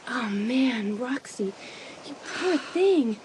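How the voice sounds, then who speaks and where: A lively, high-pitched teenage girl, played by a woman, competitive yet sympathetic. Her intonation swings noticeably as she plays the best friend in a dramatised audiobook. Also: slow pace, a little hiss or hum. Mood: sympathetic, shocked.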